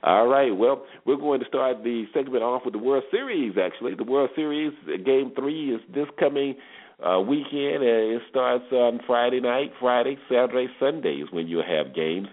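A man's voice: medium pitch, moderate pace. An American man hosting a sports talk show in a conversational, emphatic way, repeating phrases for emphasis. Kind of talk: conversational sports radio commentary. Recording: thin phone-like internet radio line.

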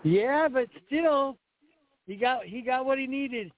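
Very high-voiced man, tinny line. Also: animated, takes it slowly. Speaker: co-host. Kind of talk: casual chat. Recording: dry tinny phone line.

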